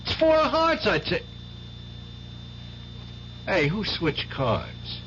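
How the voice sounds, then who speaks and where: An actor playing a mocking, hot-tempered gambler, slangy and boisterous, in radio drama style. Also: male voice, high voice, huge pitch swings, takes it slowly, a bit bright, heavily acted. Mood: furious. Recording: muffled radio drama recording, faint hiss.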